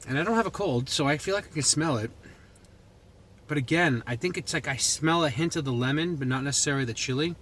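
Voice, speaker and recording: A man's voice: a little high, fast talker, a bit bright. A man with a Boston accent reviewing food in casual, rambling chat to the camera, thinking aloud as he goes. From New England, United States. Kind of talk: casual chat to camera while taste-testing. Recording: noticeable background noise.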